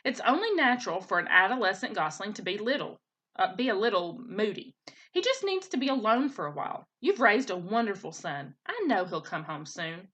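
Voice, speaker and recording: Woman voicing a lively, reassuring goose. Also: mid-range voice, a little fast, dull audio. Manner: casual, reassuring. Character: reassuring goose friend giving parenting advice.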